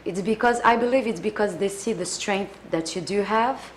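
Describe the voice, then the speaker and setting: A young adult woman with a French accent, a singer answering interview questions in a casual, earnest way, often pausing to search for words. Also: mid-range voice, slight background noise. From France.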